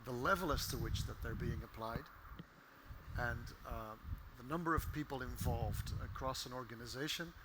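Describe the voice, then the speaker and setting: A man who implements systems answers questions on a panel in a measured, thoughtful way. His voice is mid-pitched, and a faint noise runs underneath it. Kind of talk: panel discussion.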